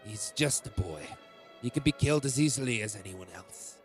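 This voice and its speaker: A man's voice: medium pitch, lively intonation, normal speed, lightly acted. A man acting as a cold, domineering middle-aged king in a fantasy audio drama, commanding and contemptuous. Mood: dismissive. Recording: music behind the voice.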